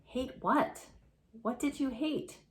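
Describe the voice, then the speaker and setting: A woman's voice: high-pitched, slow pace, pitched above the performer's own voice. A woman voicing a brave young male kitten from a storybook, firm, coaxing and protective. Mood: insistent, urgent.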